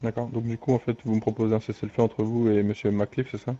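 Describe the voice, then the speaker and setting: A man's voice: lowish voice, little pitch movement, quick pace, warm tone, close to an everyday voice. A man playing a composed crime boss in an online roleplay, questioning in a formal manner. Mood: cool, probing. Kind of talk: improvised online roleplay dialogue. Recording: muffled lo-fi game voice chat.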